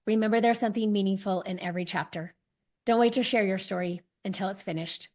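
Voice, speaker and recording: A woman hosting a podcast, her voice hoarse and worn from laryngitis. She speaks to her listeners in an encouraging, earnest way, at an ordinary middle pitch. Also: quick pace. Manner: warm, encouraging appeal to listeners. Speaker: podcast host.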